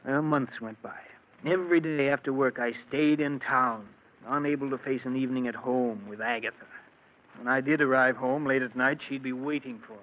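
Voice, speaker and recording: Earnest, tormented married man, played by an actor, medium pitch, 1940s radio melodrama, narrow tinny recording. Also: steady tone, moderate pace, lightly acted. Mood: despondent. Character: unhappily married, lovesick man, tormented and resentful. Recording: tinny, noisy old radio broadcast.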